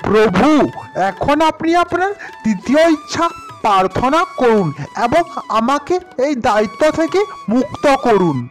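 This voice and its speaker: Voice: mid-range voice, sing-song, a little slow, soft background music. A performer voicing a loyal, grateful lamp genie who speaks formally and respectfully to a master in a fairy-tale cartoon. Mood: pleading, earnest. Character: grateful, loyal male lamp genie, later a freed prince.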